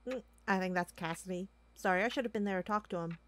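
A woman's voice: mid-range voice, fast, noisy background, lightly acted. A woman playing a playful, nosy office underling in an audio roleplay, with a teasing manner. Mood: teasing, casual. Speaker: voice actor. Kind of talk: amateur audio roleplay acting.

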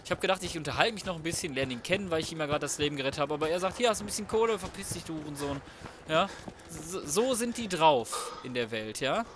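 A man's voice: a little high, lively intonation, fast, background noise. A man giving casual let's play commentary, thinking aloud in slang with some swearing. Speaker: gaming video host.

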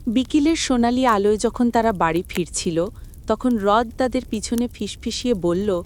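A woman's voice: fairly high pitch, moderate pace, darkish tone. A woman reading scripted narration for a children's cartoon story.

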